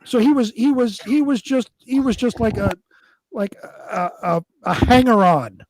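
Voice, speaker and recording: A man's voice: very high-pitched, lively intonation, a little slow, no room sound, rough recording. A man speaking as a talk show panelist, chatting casually and swearing freely. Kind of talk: casual, profane panel chat.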